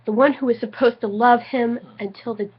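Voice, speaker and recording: A woman's voice: on the high side, fairly even intonation, brisk, husky. A tipsy woman giving a theatrical, mock-dramatic reading of comic fan fiction, prone to giggling. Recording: slightly dull lo-fi home recording.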